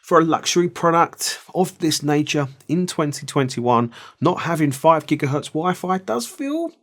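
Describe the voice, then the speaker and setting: A middle-aged man reviewing audio gear in a conversational, measured way, explaining things with personal asides. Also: on the high side, expressive, a bit bright. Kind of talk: product review to camera.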